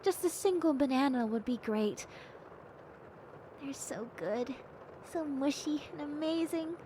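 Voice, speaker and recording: A woman voicing a timid, excitable young bat-girl hybrid in a roleplay audio drama, polite and gushing, with hesitant little exclamations. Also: high voice, unhurried, a little hiss or hum, poor audio. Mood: hesitant, pleading.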